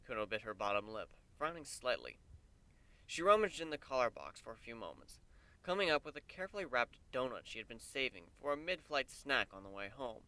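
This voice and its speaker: Bright-voiced, animated male storyteller. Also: medium pitch, fairly quick, dry recording. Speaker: narrator. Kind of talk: dramatic story reading.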